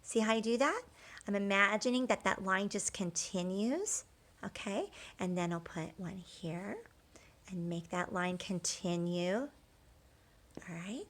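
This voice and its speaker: A woman's voice: medium pitch, unhurried, a bit bright. A woman teacher talking children through a drawing step by step, conversational and encouraging, often checking in with short questions.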